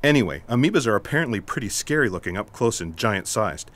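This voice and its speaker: Man playing a lowish, deadpan eccentric storyteller. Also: fast. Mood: storytelling. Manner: deadpan, matter-of-fact. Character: earnest, unflappable eccentric male storyteller. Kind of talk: sci-fi audio drama acting.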